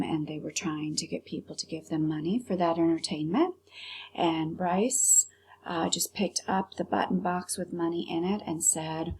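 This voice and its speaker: Husky woman reading a story aloud. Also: fairly low pitch, a little mellow, poor audio. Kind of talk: storybook read-aloud narration.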